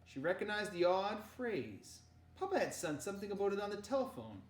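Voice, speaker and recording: An animated woman storyteller reads a children's novel aloud. Her pitch moves around freely with the action, and the room adds a clear echo to her voice. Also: brisk. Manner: animated, dramatizes dialogue. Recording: roomy echo.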